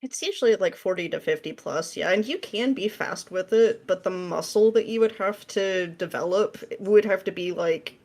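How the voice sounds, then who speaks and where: A woman being interviewed as a guest, chatting casually in a jokey, animated manner. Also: medium pitch, normal speed, few pauses. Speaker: podcast guest, script writer.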